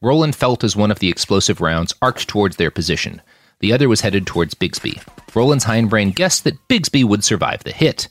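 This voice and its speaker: A man narrating fiction in an expressive audiobook style, acting out the dialogue as he reads. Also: on the low side. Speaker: fiction podcast author and narrator.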